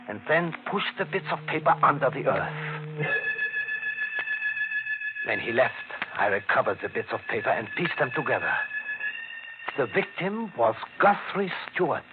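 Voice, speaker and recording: A man acts out an evasive, haunted waiter in a 1940s radio thriller. His delivery is halting and formal, with wide swings of pitch. The recording is thin and vintage, with music clearly behind him. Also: narrow, tinny audio, natural voice. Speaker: actor.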